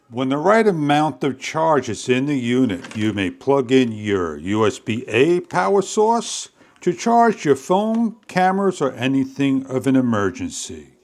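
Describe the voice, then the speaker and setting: A man narrating a step-by-step product demonstration in a deliberate, phrase-by-phrase instructional way. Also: mid-range voice. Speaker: product reviewer.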